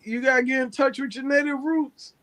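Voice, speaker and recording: A man's voice: very high voice, steady tone, fairly quick, a bit bright. A man joking and teasing in a casual group chat, laughing often.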